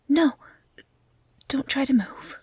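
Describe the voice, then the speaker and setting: A woman playing a gentle dryad who protects a forest, speaking in soft, hushed, halting tones in an intimate roleplay audio drama. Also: sits high, no room sound. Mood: gentle, curious.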